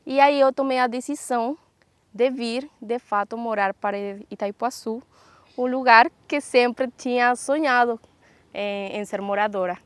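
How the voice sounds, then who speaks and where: A woman's voice: on the high side, unhurried, dry recording. Enthusiastic woman, dry close sound. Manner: animated, enthusiastic telling. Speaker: interviewee. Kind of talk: documentary interview.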